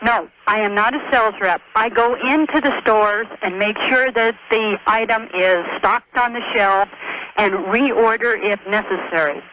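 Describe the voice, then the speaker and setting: A man calling a radio show, explaining a problem in a measured, hesitant, precise way over the telephone. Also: sits high. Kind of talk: explaining a work problem by phone. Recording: muffled noisy phone line on radio.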